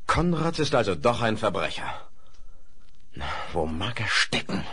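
An actor playing a commanding sheriff in a Western radio play, speaking emphatically on an old vinyl recording. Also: male voice, lowish voice, big pitch swings, a little slow, pushed and bright, husky, full character voice. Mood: grave. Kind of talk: 1970s German radio play acting.

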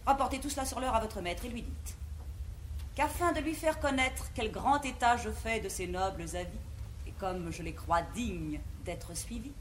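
A woman's voice: on the high side, animated, normal speed, close to an everyday voice. An actress playing an imperious, haughty learned mother of a bourgeois house, declaiming verse grandly in a classical French stage comedy. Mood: defiant, commanding. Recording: noisy vintage 1950s theatre recording.

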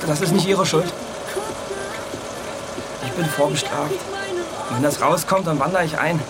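A man's voice: fairly high pitch, a little slow, over loud music, lightly acted. A man playing a worried, streetwise young petty offender in a radio drama, anxious, slangy and pleading. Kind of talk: German radio drama acting. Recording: engine and car noise behind.

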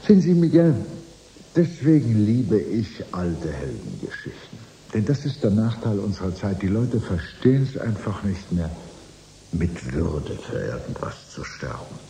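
Over clear background noise comes a weary male executive in a radio play. His voice sits low, and he talks in a dry, sardonic, formal way, like a cynic long tired of negotiating. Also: sing-song, measured pace, warm tone, heavily acted, low-quality recording. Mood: resigned, cynical. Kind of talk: radio drama acting.

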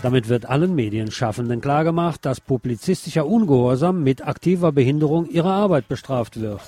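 A man's voice: medium pitch, animated, fairly quick, mellow, faint music behind. A man presenting on radio, reading a written report aloud.

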